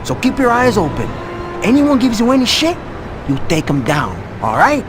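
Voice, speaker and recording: Tough male henchman, high voice, lively pitch, terse, streetwise, game cutscene, noisy city ambience. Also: normal speed, exaggerated voice. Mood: tense, commanding. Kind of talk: dramatic video game cutscene acting.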